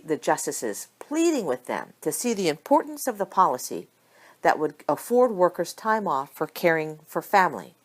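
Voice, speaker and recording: A woman reading a story aloud as an amateur audiobook narrator, with expressive delivery. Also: lowish voice. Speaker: audiobook reader of fan fiction.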